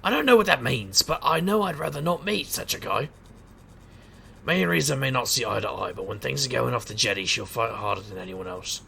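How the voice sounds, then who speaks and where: An actor playing a wry, hard-drinking private eye and street magician in a noir audio drama, gravelly and wisecracking. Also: male voice, medium pitch, expressive, brisk, sharp tone, heavily acted. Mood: wry narration. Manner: wisecracking, sardonic. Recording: crowd murmur behind.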